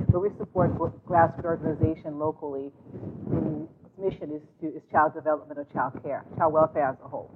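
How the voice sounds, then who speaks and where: Haitian american woman from new york, earnest coach talk, phone-thin sound, hissy line. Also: lowish voice. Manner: earnest, measured, spiritual phrasing. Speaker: guest, life coach and author. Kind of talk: talk show interview. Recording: tinny phone line with noise.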